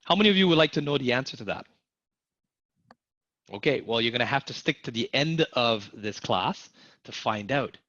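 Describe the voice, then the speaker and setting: Male instructor running a live online sales class, energetic and interactive, dry dull audio. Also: medium pitch, moderate pace, long pauses, no room sound. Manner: energetic, asks audience to type in the chat.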